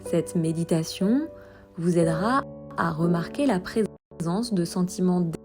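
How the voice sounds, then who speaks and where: A woman guiding a body scan meditation in a soft, at times breathy voice, with calm, soothing instructions and long pauses. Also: fairly low pitch, over music. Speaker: yoga meditation guide.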